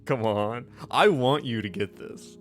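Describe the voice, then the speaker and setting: Game master, male, average pitch, conversational improvised narration with stops and restarts, faint music underneath. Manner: loose, halting, conversational.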